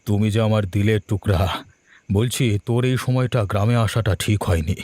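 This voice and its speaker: A man's voice: fairly low pitch, fairly even intonation, normal speed, darkish tone, no room sound, natural voice. A man voicing a doting, fearful village father in a cartoon, speaking in a tender, uneasy way. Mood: affectionate. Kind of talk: cartoon voice acting.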